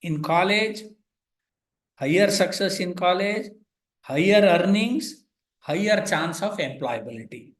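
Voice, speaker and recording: A man's voice: high voice, expressive, measured pace, roomy sound. An older man from India with an Indian English accent and Kannada as his first language, giving an online lecture with dry asides. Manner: explanatory, pointing at slides, dry asides. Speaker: visiting professor.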